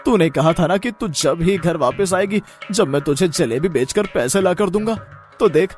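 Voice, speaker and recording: Man playing an animated, cheerful poor village sweet seller. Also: high voice. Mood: excited, proud. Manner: eager, loud, reassuring, expressive. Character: cheerful, humble poor jalebi seller, loving village husband. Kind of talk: Hindi animated moral story voice acting.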